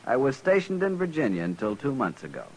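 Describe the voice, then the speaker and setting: A man's voice: mid-range voice, big pitch swings, fast, darkish tone, breathy edge, poor audio. A man playing a stiff, haughty young cavalry lieutenant in an old-time radio western, formal and clipped. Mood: haughty, defensive.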